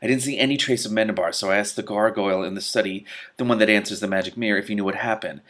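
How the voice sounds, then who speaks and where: A man's voice: fairly low pitch, fairly even intonation, rapid-fire, a bit bright, close to an everyday voice. A man voicing a vain male storybook cat, breezy, digressive and self-satisfied. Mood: breezy, boastful. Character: smug, vain male talking cat telling tales.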